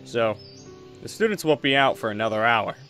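A man playing an easygoing but firm father, a veteran exorcist in a Minecraft roleplay, talking in a relaxed, reassuring way. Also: mid-range voice, normal speed, soft background music. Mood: explaining. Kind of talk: improvised Minecraft anime roleplay.

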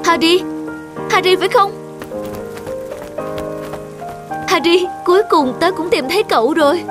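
A woman voicing a grateful, affectionate young woman in an animated cartoon. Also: extremely high pitch, brisk, voice pushed up. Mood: joyful. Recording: music behind the voice.

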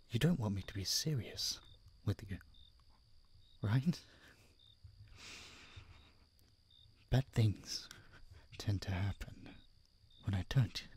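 A male voice actor plays an obsessive masked yandere kidnapper in an intimate audio roleplay. His low, gravelly voice moves slowly, slipping into murmurs as he taunts and dotes in a condescending, menacing way. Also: a little hiss or hum. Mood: coaxing menace. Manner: soft, taunting, condescending, drawn-out murmurs and whispers. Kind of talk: intimate audio roleplay monologue addressed to the listener.

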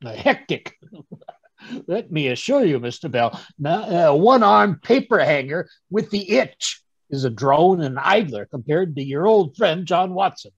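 A man playing a genial, fussy older English gentleman, chattily recounting his adventures in old-time radio drama style, with a husky voice. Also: a little high, very animated, a little slow, no echo, heavily acted. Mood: amused. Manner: chatty, chuckling, rambling storyteller with stammered asides. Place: home room on a group video call. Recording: dull, dry video-call audio.

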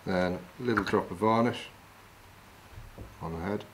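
A middle-aged man from England calmly talking through each step of tying a fishing fly while he works with his hands. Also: fairly low pitch, measured pace, heavy background noise. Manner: calm, practical, talks while working with his hands. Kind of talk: step-by-step demonstration. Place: wooden lodge room, indoors.

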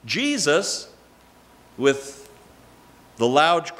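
An older man preaching a sermon at a church pulpit, reading from scripture with measured, expressive emphasis. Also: fairly high pitch. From Canada. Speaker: pastor. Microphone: pulpit mic.

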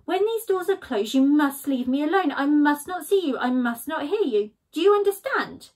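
A woman's voice: sits high, lively intonation, normal speed, a little mellow, voice pushed up. A woman voicing a grumpy, studious male badger who talks curtly. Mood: stern. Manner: curt, impatient.

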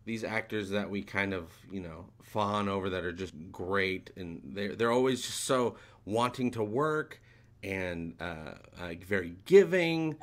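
Low-voiced man hosting a video call interview. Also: measured pace. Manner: rambling questions with many fillers. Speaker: podcast host. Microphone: webcam video call.

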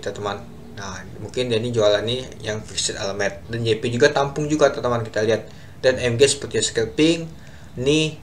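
A man's voice: medium pitch, fairly bright tone. A young man talks viewers through stock charts in a loose, chatty way, calling them friends again and again. His voice sits in an ordinary middle range. He is recorded at a desk computer in a small room, with a faint hiss underneath. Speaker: stock market youtuber. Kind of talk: casual screen-share stock analysis.

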